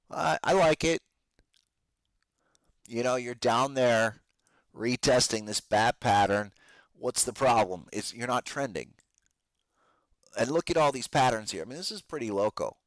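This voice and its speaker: A man's voice: mid-range voice, no room sound. A man hosting a podcast with casual live stock market commentary, talking in informal slang, his voice strained and giving out. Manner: informal trader slang, addresses listeners as guys. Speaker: host of a trading podcast.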